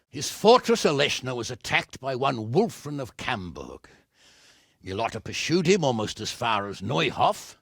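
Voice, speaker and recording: A man playing a gruff, blunt, hot-tempered middle-aged medieval nobleman in a video game, commanding and scolding in a gravelly, husky voice. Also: medium pitch, a bit bright, no echo, studio quality, heavily acted. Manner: blustering, scolding, swearing, commanding.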